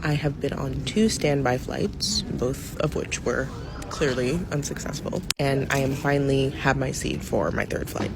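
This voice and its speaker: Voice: mid-range voice, lively intonation, measured pace, vocal fry, loud music behind the voice. An exasperated airline passenger giving a first-person video update from an airport. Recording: noisy airport ambience.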